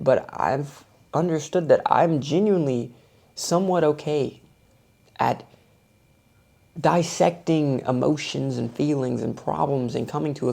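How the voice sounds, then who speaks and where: Soothing young man, gravelly and mellow. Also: fairly high pitch. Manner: thoughtful, musing, self-searching. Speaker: vlogger. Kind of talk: reflective personal monologue.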